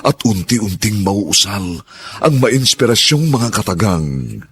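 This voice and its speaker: A man's voice: on the low side, very animated, takes it slowly, fairly bright tone. A man delivering a grand, solemn, poetic introduction to a radio drama in a scripted narration. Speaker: program narrator.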